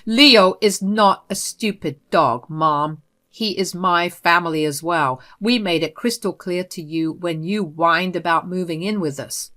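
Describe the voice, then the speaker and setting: A woman's voice: lowish voice, unhurried, pushed and bright. A woman voicing an angry grown son, stern and clipped as he confronts his mother. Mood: furious.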